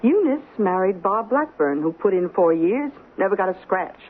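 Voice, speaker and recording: A woman's voice: mid-range voice, expressive, normal speed, husky, narrow, tinny audio. A woman acting in a radio drama as an affectionate, reasoning wife, with a coaxing, explanatory and theatrical delivery. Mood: eager, persuasive. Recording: thin, noisy old radio broadcast.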